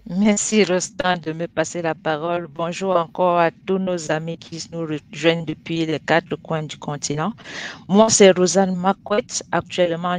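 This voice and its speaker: A woman from Cameroon introducing herself on a video call, lively and enthusiastic. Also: low voice. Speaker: marketing manager, panelist. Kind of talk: self-introduction on webinar. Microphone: headset on video call.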